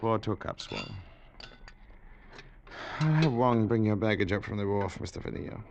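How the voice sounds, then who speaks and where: Suave, creaky-voiced colonial official. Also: male voice, lowish voice, lively intonation, muffled recording, background noise. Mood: quietly ominous. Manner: suave, deliberate, formally polite. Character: urbane colonial district official, wry and ominous host. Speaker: actor in a radio drama. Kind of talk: 1940s-50s radio drama acting.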